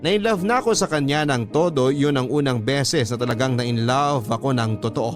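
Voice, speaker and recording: Male Filipino radio drama narrator, mid-pitched, first-person storytelling over a clear music bed. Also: moderate pace. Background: background music.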